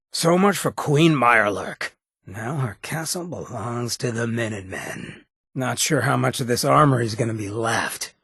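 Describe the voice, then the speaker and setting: An actor playing a swaggering, roguish and menacing ghoul mayor in a video game, with a raspy, streetwise, slangy drawl. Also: male voice, lowish voice, a bit bright, no room sound, studio quality, exaggerated voice. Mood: wry. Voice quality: very raspy, gravelly rasp. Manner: streetwise slangy drawl, cocky and smooth-talking. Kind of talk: video game character dialogue.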